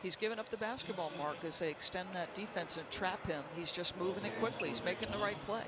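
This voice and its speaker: A woman's voice: fairly low pitch, fast talker, a loud crowd. A woman giving analytical color commentary on a basketball broadcast. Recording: very noisy replayed arena broadcast audio.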